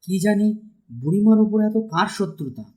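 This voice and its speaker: A man's voice: sits high, moderate pace, a little mellow, lightly acted. A man voicing a worried, earnest village man in a Bengali cartoon, sounding concerned and puzzled. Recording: music behind the voice.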